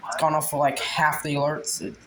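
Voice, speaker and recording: A man's voice: fairly high pitch, monotone, fairly quick. Casual rambling man, noisy recording. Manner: rambling, trailing off with vague asides. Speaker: video host showing a personal collection. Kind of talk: casual unscripted show-and-tell.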